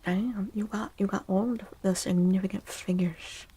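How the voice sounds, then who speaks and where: Breathy soft-spoken ASMR woman, slow and close to a whisper. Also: mid-range voice, steady tone, poor audio. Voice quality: breathy, often near a whisper. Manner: slow, gentle, drawn-out delivery. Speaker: livestream host giving tarot readings.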